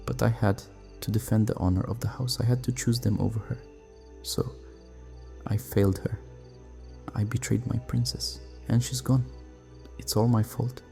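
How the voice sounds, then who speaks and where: Man acting a creaky, sorrowful vampire. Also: fairly low pitch, steady tone, warm tone, soft background music. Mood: anguished guilt. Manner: halting, sorrowful, confessional. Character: guilt-ridden, grieving male vampire returned from exile. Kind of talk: dramatic audio roleplay acting.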